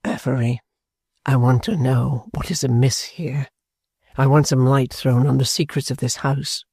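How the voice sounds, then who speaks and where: Man doing a gentle earnest gentleman, low gravelly voice, formal and calm. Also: dry recording, clean studio sound. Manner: measured, formal and reassuring. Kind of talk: audiobook character voice.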